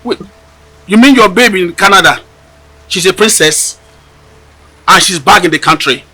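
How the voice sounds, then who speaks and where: High, bright-voiced Nigerian man, bewildered. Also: breathy edge, soft background music, exaggerated voice. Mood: surprised, confused. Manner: halting, repetitive, emphatic. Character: bewildered man on a phone call, anxious friend. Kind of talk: screen drama acting.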